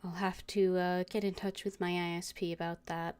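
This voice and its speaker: A woman game streamer chatting casually to viewers in a hesitant, halting way. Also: on the low side, little pitch movement, a little mellow, smooth. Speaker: game livestreamer. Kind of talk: casual livestream chat.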